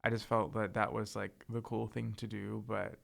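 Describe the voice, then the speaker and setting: A young man co-hosting a casual chat, soft-spoken and hesitant, filling his talk with "like" and "um". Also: on the low side, level tone, moderate pace, vocal fry. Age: young adult. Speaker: podcast co-host.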